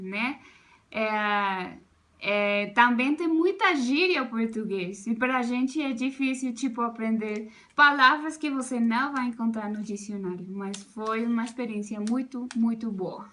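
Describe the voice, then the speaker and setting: Bright-voiced woman. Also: on the high side, a little slow. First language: Spanish. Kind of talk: casual testimonial.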